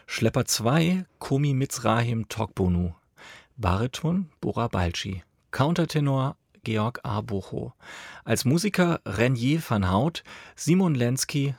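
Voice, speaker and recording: A man's voice: fairly low pitch, no room sound, clean studio sound. A man reading scripted credits as a radio announcer. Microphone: close, dry studio mic.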